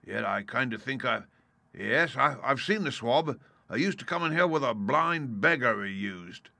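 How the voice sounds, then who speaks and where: A man's voice: mid-range voice, expressive, normal speed, gravelly, sharp tone, no room sound, voice pushed up, exaggerated voice. A man voicing a cheerful, cunning one-legged sailor turned tavern landlord in an audiobook, hearty and blustering with salty turns of phrase. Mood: excited, bluff. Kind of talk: audiobook character voice.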